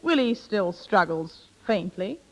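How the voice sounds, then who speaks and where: A woman's voice: mid-range voice, animated, slow pace. An actress playing a worldly, wryly amused married woman in a 1930s radio drama, with a dry, knowing manner. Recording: dull 1930s radio recording.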